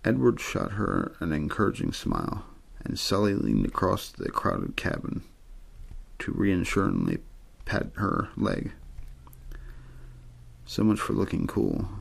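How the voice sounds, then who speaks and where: Gravelly deep-voiced man reading a story. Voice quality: gravelly, husky. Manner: understated, untheatrical delivery. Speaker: amateur audiobook reader. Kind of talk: ASMR bedtime story reading.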